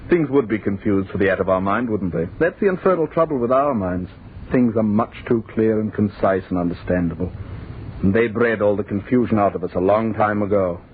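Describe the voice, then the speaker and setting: Male actor as bitter immortal from the future, low voice, condescending and impassioned, vintage radio drama with faint noise. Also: a little fast, close to an everyday voice. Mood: weary, scornful. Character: bitter, world-weary 250-year-old perfected man of a future world. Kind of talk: old-time radio horror drama acting. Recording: muffled, noisy old radio recording.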